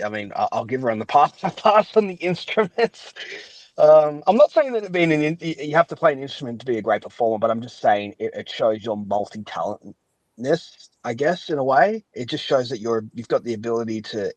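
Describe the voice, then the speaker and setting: A man's voice: medium pitch, dry recording, low-quality recording. A man chatting casually as a podcast guest, giving his opinions in a rambling way and often qualifying what he says. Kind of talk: casual chat.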